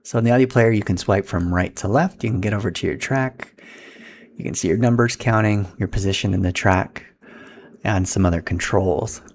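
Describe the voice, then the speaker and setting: A man walks through a hands-on setup in an informal, instructional style. His voice sits low and has a gravelly, creaky edge. Faint background noise runs under the recording. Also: moderate pace. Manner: informal, instructional, talking while handling equipment. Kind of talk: casual step-by-step tutorial.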